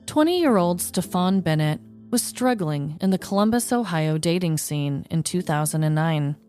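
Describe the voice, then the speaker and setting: A young adult woman co-hosting a podcast, chatting casually and telling a story, animated with quick laughing asides. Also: on the low side, faint music behind. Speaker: podcast co-host. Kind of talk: casual chat and scripted storytelling.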